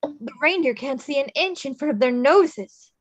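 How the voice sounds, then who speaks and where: A woman's voice: high voice, expressive, moderate pace, dry recording. A woman playing Jack, a theatrical skeleton man who fancies himself Santa, declaiming melodramatically at a script table read. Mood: dejected, mournful.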